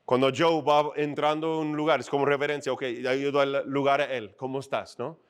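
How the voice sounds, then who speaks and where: A middle-aged man preaching a sermon to his church in a chatty, animated way, acting out short scenes and checking in with his listeners. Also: medium pitch, a little fast, clear, resonant tone. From outside Mexico. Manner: conversational, animated, acts out little scenes and asks "¿no?". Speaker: pastor. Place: church stage.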